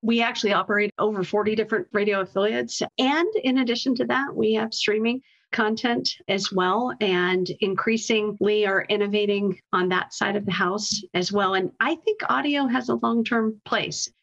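A woman's voice: mid-range voice, normal speed, vocal fry, fairly bright tone, dull audio. A woman speaking conversationally as an executive guest in an interview.

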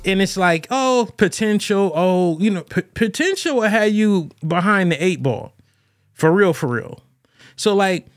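A man hosting a show alone and talking casually about relationships, rambling with lots of fillers and restarts. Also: a little high. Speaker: podcast host. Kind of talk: casual solo talk on relationships.

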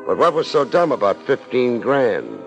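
Hard-boiled American gumshoe, wry and laid-back, swinging lively pitch, muffled vintage radio sound with music. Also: male voice, moderate pace. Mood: wry narrating. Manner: sardonic, unruffled, wry first-person narration and blunt questioning. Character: wisecracking, hard-boiled, laid-back male private investigator. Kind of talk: 1950s American radio detective drama acting.

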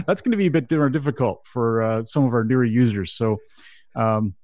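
A man's voice: medium pitch, normal speed, a little mellow, no room sound, studio quality. A Canadian man hosting a radio show, chatting casually and laughing often. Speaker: podcast host.

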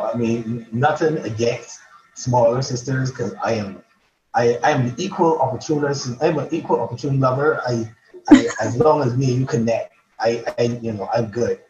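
A man's voice: mid-range voice, darkish tone, slight background noise. A man, a guest poet, chatting casually on a podcast and often stumbling over and repeating his words. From Barbados. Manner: halting, stammers and repeats words. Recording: roomy, dull lo-fi sound.

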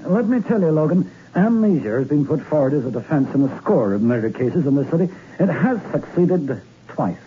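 Sardonic police lieutenant, thin old-radio sound. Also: male voice, fairly high pitch, very animated, a little fast, husky, narrow, tinny audio, heavily acted. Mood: sardonic, firm. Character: gruff middle-aged police lieutenant, sardonic, world-weary, paternal. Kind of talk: 1960s radio crime drama acting.

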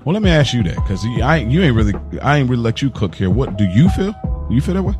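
Male US podcast co-host, mid-pitched, slangy and questioning, casual talk with light music underneath. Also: sing-song, quick pace. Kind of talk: casual conversational podcast chat.

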